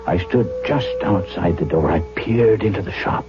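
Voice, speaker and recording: An actor playing a kindly, earnest older German doctor in a 1970s radio drama, speaking in a deliberate, fatherly, storytelling manner. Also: sits low, expressive. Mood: grave, pained. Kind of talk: 1970s radio drama acting, first-person narration. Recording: muffled vintage radio broadcast, music underneath.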